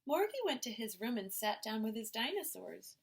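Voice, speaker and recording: A woman teacher reading a picture book aloud to young children in an expressive storytelling style. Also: on the high side, creaky, slightly rough. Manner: slow, expressive, addressing children directly.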